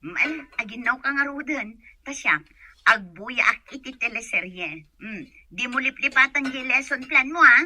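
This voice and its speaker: A woman's voice: high voice, lively intonation, a little slow, sharp tone, full character voice. An actress playing a chatty mother who loves soap operas, in a radio drama.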